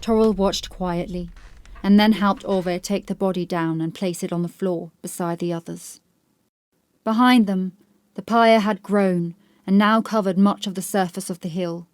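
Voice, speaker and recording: Female narrator for dark historical fiction. Mid-range voice, serious, measured scripted storytelling. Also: mid-range voice, steady tone, dry recording. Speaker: audiobook narrator.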